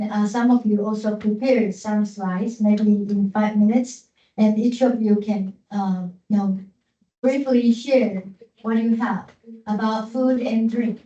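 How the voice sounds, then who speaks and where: A woman's voice: fairly high pitch, warm tone. A woman teacher giving classroom instructions with many fillers and repeated words. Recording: echoey classroom from far off, dull.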